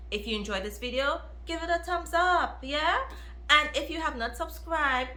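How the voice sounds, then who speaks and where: A woman chatting casually to viewers as a book reviewer, with an emphatic, expressive manner that repeats phrases for emphasis. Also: mid-range voice. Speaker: book reviewer, video host. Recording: roomy, echoey room.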